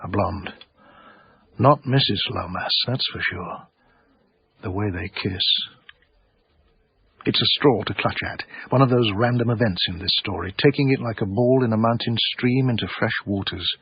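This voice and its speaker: A husky, lowish male narrator, telling a story with wry deliberation. The radio drama recording is very dry and a little dull. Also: normal speed, no room sound. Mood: brooding, wry. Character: wry, literary-minded art dealer telling his story.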